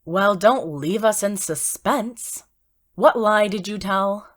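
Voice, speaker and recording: A woman voicing a prim, sharp-tongued female rabbit prosecutor with a dry manner. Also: medium pitch, takes it slowly.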